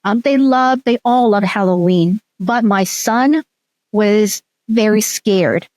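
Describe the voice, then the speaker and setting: A woman's voice: mid-range voice, takes it slowly, darkish tone, no echo. A middle-aged woman from Hong Kong whose first language is Cantonese, a researcher telling stories as an interview guest, with frequent ums and restarts. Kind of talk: casual interview storytelling.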